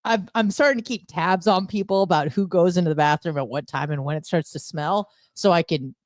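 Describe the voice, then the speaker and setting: A woman chatting casually and telling stories in a crude, profane, animated comic way. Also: fairly low pitch, a little fast, dry recording, clean studio sound. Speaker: co-host.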